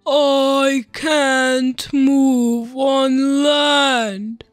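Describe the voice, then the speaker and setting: Woman putting on a slow, moaning huge whale. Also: sits high, steady tone, dry recording, soft background music. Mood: moaning, helpless. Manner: drawn-out moaning. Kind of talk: animated storybook character voice.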